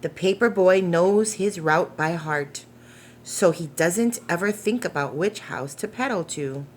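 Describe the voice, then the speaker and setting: A woman with a slightly low voice reads a picture book to small children. She goes very slowly and gently, with expressive phrasing, as a preschool storytime reader. There is noticeable noise behind her. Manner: gentle, deliberate, asking the children questions.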